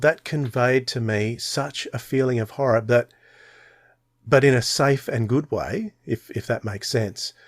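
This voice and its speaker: A man's voice: medium pitch, dry recording. Australian man, close dry sound. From Tasmania, Australia. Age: 40s. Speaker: podcast co-host. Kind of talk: casual podcast chat.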